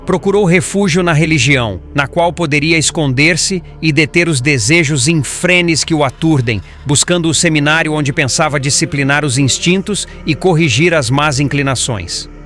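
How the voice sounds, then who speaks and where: Man voicing a solemn compassionate male spirit mentor, fairly high pitch, preaching audiobook delivery, soft music behind. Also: lightly acted. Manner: formal, preaching, elevated diction. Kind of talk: scripted audiobook character reading.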